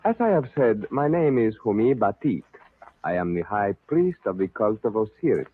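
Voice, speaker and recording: A man playing a suave, menacing Egyptian high priest in a vintage radio serial, speaking formally and deliberately with a put-on foreign accent. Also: big pitch swings, husky. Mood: suave, explanatory. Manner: formal, courteous, deliberate, theatrical. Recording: tinny, band-limited old radio recording.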